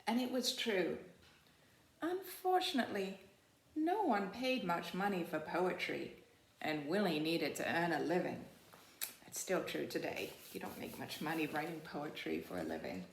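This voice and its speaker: A woman reading a picture book aloud like a teacher, in expressive storytelling with casual, simply worded asides and deliberate, phrase-by-phrase delivery. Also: lowish voice, creaky, a little hiss or hum. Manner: explains to young listeners in simple, informal words. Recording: roomy, echoey sound.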